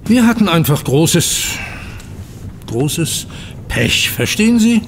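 A man's voice: on the high side, big pitch swings, takes it slowly, a little hiss or hum, heavily acted. A man playing a courteous, anxious banker in a German radio play, speaking in formal, flowery phrases. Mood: rueful. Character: polite, anxious male banker in financial ruin. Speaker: actor in an audio drama. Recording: long room reverb.

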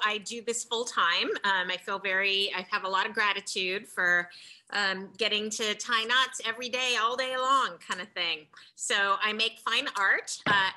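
Woman, fifties, very bright sharp tone, relaxed and thoughtful, on a video call from a studio. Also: medium pitch, poor audio. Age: 50s. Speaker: guest artist. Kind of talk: casual video-call conversation.

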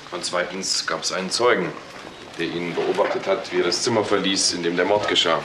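An actor playing a sceptical, matter-of-fact police investigator in a German crime radio drama. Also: male voice, low-pitched, steady tone, fairly quick, noisy background, lightly acted.

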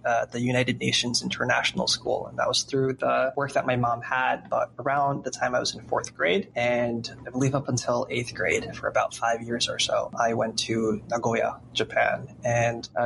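A man in his thirties talks thoughtfully as a podcast guest, often restarting his sentences. His voice has a gravelly edge and sits at an average pitch. A faint hum runs underneath. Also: fairly bright tone, rough recording. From United States. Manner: thoughtful, frequent restarts and fillers. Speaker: guest, high school English teacher. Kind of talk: podcast interview.

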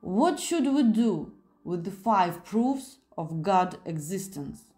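Woman voicing a slow-spoken, courteous, eccentric foreign gentleman. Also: medium pitch, very animated, soft background music. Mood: excited. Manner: elaborately courteous, exclamatory. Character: strange polite foreign man, eager and theatrical.

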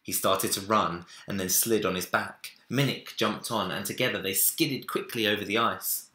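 Young guy narrating kids story, deliberate n dramatic, bright voice, echoey room. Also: fairly low pitch, steady tone. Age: young adult. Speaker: story reader. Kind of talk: expressive children's storybook narration. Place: small home room, indoors. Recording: roomy echo.

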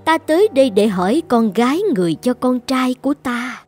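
A woman's voice: fairly high pitch, lively intonation, a little fast, darkish tone, faint music behind. A woman playing a mysterious, boastful older queen with a self-assured, theatrical manner in a children's cartoon. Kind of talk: dubbed children's cartoon acting.

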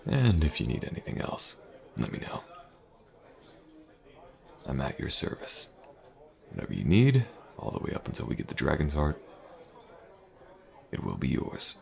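A man acts out a tough mercenary for hire in a fantasy audio roleplay. His voice is extremely deep and heavily gravelled. He murmurs intimately and unhurriedly, pausing often, with a teasing streak. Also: huge pitch swings, heavily acted. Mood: calm, assured. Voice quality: gravelly, breathy low murmur.

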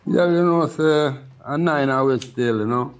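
A man's voice: on the high side, a little slow. An older Jamaican man speaking as a Rastafarian priest and guest, in reverent, casual Patois. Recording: distant, slightly dull remote sound.

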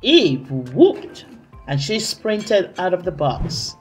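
Very slow, expressive woman storyteller. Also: fairly low pitch. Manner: expressive, dramatic, deliberate. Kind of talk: children's story read-aloud. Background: soft music.

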